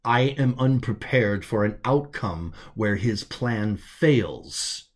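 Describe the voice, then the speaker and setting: A man's voice: lowish voice, animated, slow. A stern cyborg second-in-command acted by a man speaks in a science fiction audiobook. He is an impatient male officer with a worried, threatening edge. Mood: grave. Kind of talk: audiobook character dialogue.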